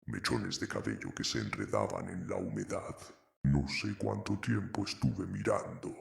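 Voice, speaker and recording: A man's voice: rumbling low, vocal fry. A man narrating horror stories in a dramatic, ominous way.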